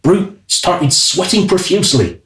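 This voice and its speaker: A man's voice: high-pitched, very animated, unhurried, fairly bright tone, heavily acted. A man playing a wry royal groom who narrates a comic radio play in a theatrical style, with an echoing sound. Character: wry male royal groom telling the tale.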